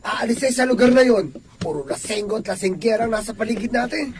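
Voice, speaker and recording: Protective grown son, high voice, earnest, firm, easily annoyed, radio drama. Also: male voice, fast. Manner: earnest, polite but firm. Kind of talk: Filipino radio drama acting.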